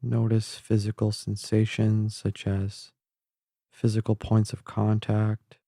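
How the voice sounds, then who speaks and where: A man guiding a meditation in a calm, gentle, measured way, leaving long pauses between phrases. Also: on the low side, vocal fry, no room sound, clean studio sound. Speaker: guided meditation instructor. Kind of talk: slow guided meditation narration. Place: close, dry voice-only recording.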